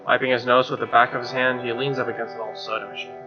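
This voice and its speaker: A man's voice: medium pitch, flat delivery, quick pace. Man narrating over guitar music, dull sound. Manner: incredulous, exclaiming asides. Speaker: let's player reading a visual novel aloud. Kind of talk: story narration with casual sweary reactions.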